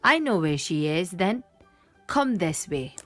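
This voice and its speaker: A woman's voice: lowish voice, very animated, slow, fairly bright tone, soft background music, heavily acted. A woman voicing a helpful but muddled butterfly in a children's picture book, eagerly coaxing and confident as a guide.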